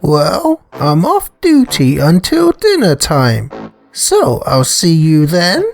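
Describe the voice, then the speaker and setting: Easygoing, teasing female kitchen worker, voiced by a man, pitched well up, visual novel. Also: wildly swooping pitch, takes it slowly, vocal fry, soft background music, full character voice. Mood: playful, friendly. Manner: playful, easygoing. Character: friendly, teasing woman who works in a kitchen.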